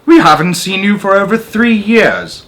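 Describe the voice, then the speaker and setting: A man's voice: sits high, very animated, normal speed, a bit bright, voice pushed up. A man voicing an effusive front-desk greeter at a posh club in a read-aloud storybook. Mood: effusive welcome.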